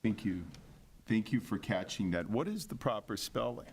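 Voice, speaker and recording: A man's voice: on the low side, lively intonation, moderate pace, a little hiss or hum. Man chairing a meeting, full of fillers. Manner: reads agenda items with many fillers. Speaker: commission chair.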